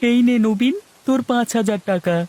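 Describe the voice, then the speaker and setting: A man's voice: very high-pitched, normal speed, warm tone. A man voicing an eager young village boy in a cartoon story. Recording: noisy track with rain ambience.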